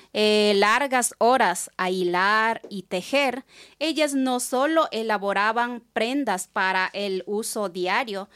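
A woman's voice: fairly high pitch, a bit bright, clean tone. A woman, giving formal, prepared answers as an interview guest that sound read out. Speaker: guest, textile artisan.

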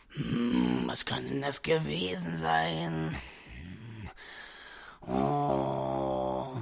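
A man playing a sleepy, grumbling, wise talking stone in a children's radio play, slow and hesitant with a rough, gravelly voice. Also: mid-range voice, a bit bright, exaggerated voice.

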